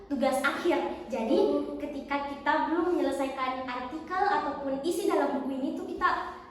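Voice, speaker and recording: Young woman, high voice in heavy echo. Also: moderate pace. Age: teens or young adult. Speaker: podcast guest, student book co-author. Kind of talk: explanatory interview answers. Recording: very echoey, reverberant hall.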